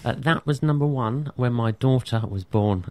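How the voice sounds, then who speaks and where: A man's voice: mid-range voice, normal speed, background noise. A middle-aged man, a fitness trainer, explaining things casually as a radio guest. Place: radio studio.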